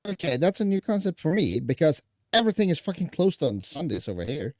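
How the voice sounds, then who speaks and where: A man's voice: fairly high pitch, sing-song, brisk, a little mellow, no echo. A man from Norway in his thirties, chatting bluntly over a voice call while gaming. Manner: blunt, swears casually. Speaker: stream guest. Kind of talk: casual gaming chat.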